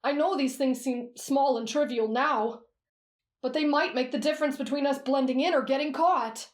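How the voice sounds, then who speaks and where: A woman's voice: sits high, normal speed, lightly acted. An earnest, kind-hearted teenage boy, put on by a woman, speaks politely and sincerely. The voice sits in a roomy, echoing space. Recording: roomy echo.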